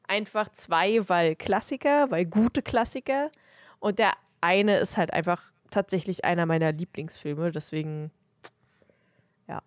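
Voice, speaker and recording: A woman's voice: fairly low pitch, unhurried, dry recording, studio quality. A woman talking casually as a podcast co-host, giving her own rankings in everyday conversational speech. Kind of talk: casual chat, ranking films.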